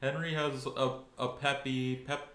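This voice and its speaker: A young man co-hosting a podcast, chatting casually in a loud, rambling, jokey way. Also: mid-range voice, flat delivery, measured pace. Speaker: podcast co-host. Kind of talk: casual chat. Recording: echoey room.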